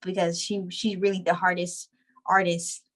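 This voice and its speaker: A woman's voice: lowish voice, level tone, normal speed. A young woman talking in a casual interview, chatty and slangy with lots of "like". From Trinidadian background. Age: young adult. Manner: chatty, slangy, full of "like" and laughs. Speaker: guest, show creator.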